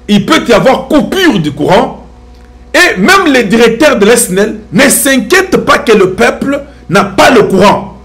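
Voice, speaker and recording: A man's voice: high voice, a bit bright. A middle-aged man with a Congolese French accent giving impassioned, preachy social commentary to his viewers, full of rhetorical questions.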